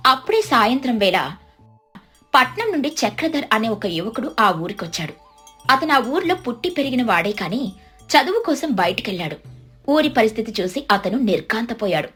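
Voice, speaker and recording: A woman narrates a scripted moral tale. Her voice sits slightly high and moves with very animated intonation, over soft background music. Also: a little fast. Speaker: story narrator.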